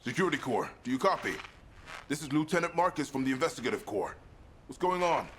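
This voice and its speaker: A man playing a steady lieutenant of a sci-fi investigative corps in a video game. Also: on the high side, fast talker, background noise, lightly acted. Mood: urgent. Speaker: military officer escorting the player.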